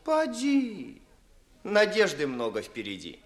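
A servile young secretary woos and flatters in a fairly high voice. His sugary phrases are drawn out slowly and glide through huge swings of pitch, in 1950s radio theatre style. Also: full character voice. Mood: evasive, timid. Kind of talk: 1950s Russian classical radio theatre acting.

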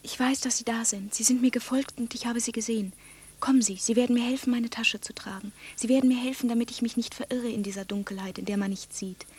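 Obsessed, commanding woman for literary radio play; breathy, husky, incantatory on a restrained, level pitch. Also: fairly even intonation, quick pace, a little mellow. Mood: urgent, pleading. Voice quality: husky, breathy, often near-whisper. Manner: solemn, incantatory, insistent. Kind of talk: literary radio drama monologue and dialogue.

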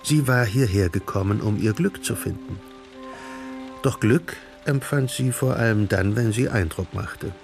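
A man as the composed narrator of a German radio drama, telling a literary story in a measured way. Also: lowish voice, gravelly, a little mellow, soft background music.

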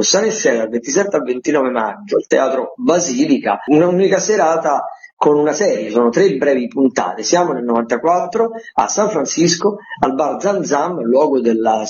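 A man giving a semi-improvised radio round-up of events as a critic, with hesitant, drawn-out phrasing. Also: medium pitch, darkish tone. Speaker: theatre critic reporting a weekly events agenda. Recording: echoey, dull lo-fi radio feed.

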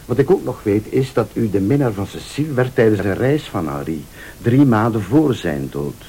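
An actor playing a vengeful rival in a radio thriller, a calm, sardonic accuser who speaks Flemish with deliberate, probing politeness. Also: male voice, fairly low pitch, a little hiss or hum. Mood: accusing. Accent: Flemish Belgian. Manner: deliberate, insinuating, formally polite.

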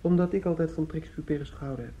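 An actor in a radio drama playing a bitter young rival in love, coldly polite, clipped and sardonic. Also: male voice, a little high, fast, warm tone, natural voice. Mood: cold challenge. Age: young adult. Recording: dull, muffled radio play recording.

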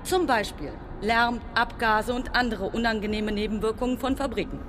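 A woman acting a stubborn, sharp-tongued character in a radio drama, insistent and sarcastic. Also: fairly high pitch, moderate pace, music in the background, close to an everyday voice. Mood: argumentative, sarcastic. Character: stubborn, contrary, sharp-tongued woman who argues logically.

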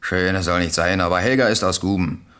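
An actor playing a brash works driver under questioning in a radio crime drama, brisk and slightly defensive. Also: male voice, low-pitched, sharp tone.

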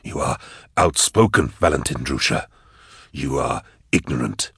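A man voicing a grim, unyielding male mastermind, terse, cold and deliberate, in an audiobook story. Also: very deep voice, animated, a bit bright, slightly rough, dry recording, clean studio sound, pitched below the performer's own voice, heavily acted. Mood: stern.

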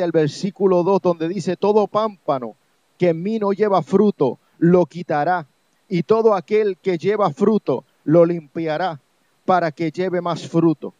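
A man's voice: sits high, dark tone, no echo. A man with a Puerto Rican accent preaching a sermon as an evangelist, exhorting listeners with insistent, repeated phrases. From Puerto Rico. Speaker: evangelist preacher on a religious program. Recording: dull, lo-fi, dry sound.

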